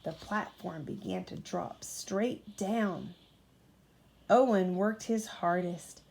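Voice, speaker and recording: Woman, mother, bedtime storybook reading, slow pace, expressive, medium pitch. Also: creaky, poor audio. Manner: expressive, acts out character dialogue. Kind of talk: children's storybook read aloud.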